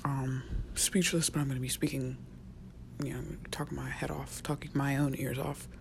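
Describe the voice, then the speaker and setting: A young woman, a college student, rambling in a casual solo podcast, chatty and often dropping to a near whisper, recorded in a quiet university office. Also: a bass voice, flat delivery, normal speed, gravelly, slight background noise. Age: young adult. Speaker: podcast host.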